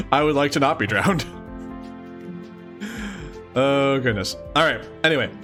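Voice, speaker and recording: A playful, chatty man reading a webcomic aloud and commenting casually on a livestream, often giggly and thinking out loud. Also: medium pitch, lively intonation, a little slow, soft background music. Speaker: streamer.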